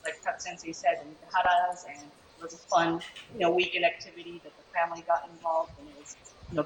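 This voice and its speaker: A woman's voice: lowish voice, lo-fi sound. A woman from Chicago whose first language is Japanese, answering interview questions casually with lots of fillers over a shared mic. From Chicago, USA. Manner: rambling, many fillers. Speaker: guest taiko performer. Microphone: shared mic on a video call.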